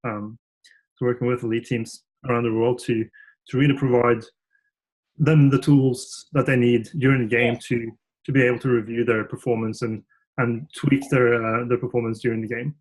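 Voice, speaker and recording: A man from Norway talks as a guest on a tech podcast. His pitch sits at an ordinary male level, and his answers come in a relaxed, thoughtful flow broken by frequent ums and restarts. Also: moderate pace, poor audio. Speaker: engineering director, guest. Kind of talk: podcast interview.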